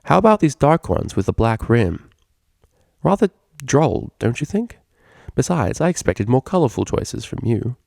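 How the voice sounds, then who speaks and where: A man's voice: mid-range voice, sing-song, moderate pace, creaky, darkish tone, dry recording, voice pushed up. A man voicing a refined female pony cellist for a story, prim, polite and coyly teasing. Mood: drolly critical. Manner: prim, proper wording, coyly innocent.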